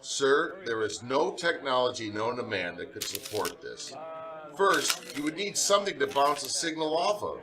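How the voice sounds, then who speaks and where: A man's voice: medium pitch, big pitch swings, normal speed, background noise, close to an everyday voice. An actor playing an earnest, deferential researcher who is sure of his data, speaking emphatically in radio drama style. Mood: insistent. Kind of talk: old-fashioned radio drama acting.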